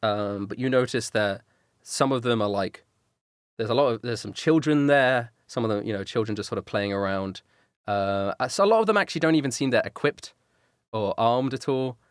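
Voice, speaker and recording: A man's voice: medium pitch, expressive, fairly quick, clean tone, no room sound, studio quality. A man running a tabletop game as dungeon master, describing scenes loosely with many fillers.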